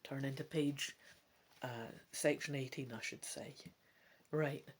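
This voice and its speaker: A Scottish woman hosting a gamebook play-through, mixing expressive, theatrical storybook reading with casual commentary. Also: rumbling low, takes it slowly. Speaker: gamebook let's-play host.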